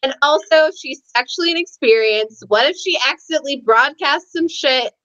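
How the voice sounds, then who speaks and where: High-voiced woman, giggly, unfiltered book-club chatter, dull lo-fi online audio. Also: moderate pace, fairly bright tone. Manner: giggly, informal with swearing. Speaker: book discussion panelist. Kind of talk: casual animated chat about a novel. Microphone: video call.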